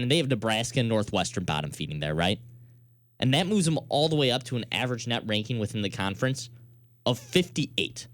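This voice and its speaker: A man's voice: medium pitch, a bit bright. An American man hosting a sports radio show, debating emphatically and laying out his case point by point.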